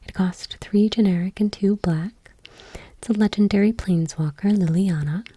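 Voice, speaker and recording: A woman softly reading aloud for ASMR in a breathy, whispery voice with a hushed, gentle delivery. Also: medium pitch, moderate pace, no room sound. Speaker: ASMR video host.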